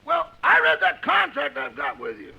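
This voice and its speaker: A man playing a gravelly-voiced, plaintive employee who wisecracks hesitantly in 1950s radio comedy. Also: extremely high pitch, animated, normal speed, bright, forceful tone, lo-fi sound, exaggerated voice. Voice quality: gravelly, raspy. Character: man employee pleading over pay on the phone. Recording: vintage 1950s radio recording.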